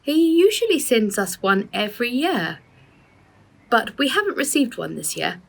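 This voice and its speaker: A woman playing an earnest, quick-thinking young boy in a children's storybook, eager and polite. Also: a little high, sing-song, creaky, pitched above the performer's own voice, full character voice. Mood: eager, distracting.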